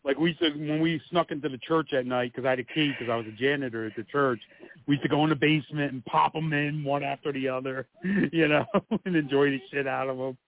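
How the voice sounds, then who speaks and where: A man co-hosting a casual podcast chat, rambling and laughing often, swearing freely, his voice going hoarse. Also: on the high side, fairly quick. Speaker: co-host. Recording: tinny phone-like line, dry.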